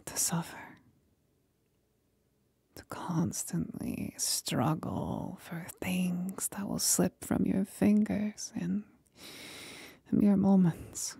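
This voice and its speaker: A woman playing a sly, condescending demon companion in an ASMR roleplay, speaking in a husky, breathy whisper with slow, teasing deliberation. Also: on the low side, fairly even intonation, mellow, dry recording, full character voice. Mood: cool, probing.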